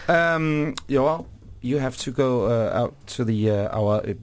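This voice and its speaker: A man's voice: mid-range voice, a little slow. A Danish man hosting a radio show in English, asking questions with many uh fillers. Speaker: radio host. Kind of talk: casual radio interview.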